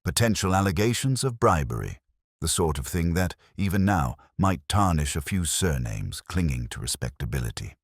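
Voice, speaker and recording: A man's voice: deep voice, sing-song, normal speed, dry recording, studio quality, heavily acted. A man voicing a guarded, courteous senior records official in an audiobook, choosing formal words with hesitant care. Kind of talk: audiobook character reading.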